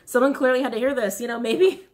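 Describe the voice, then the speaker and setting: Raspy young woman, tarot reader, casual chat to camera, giggly asides, small indoor room. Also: high voice, quick pace, a little mellow. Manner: chatty, giggly, apologetic asides.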